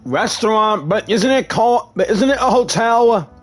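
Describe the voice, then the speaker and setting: A man's voice: high voice, lively intonation, moderate pace. A man live-streaming a game, casually reacting and reading dialogue aloud as he plays. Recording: faint game music behind.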